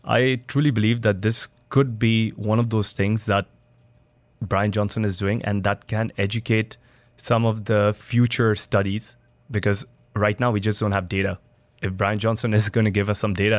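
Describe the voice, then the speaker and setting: A man's voice: fairly low pitch, little pitch movement, normal speed, no room sound. A man from Dubai, a studio guest, answering interview questions in a loose, conversational way with restarts and fillers. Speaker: studio guest, biohacking entrepreneur.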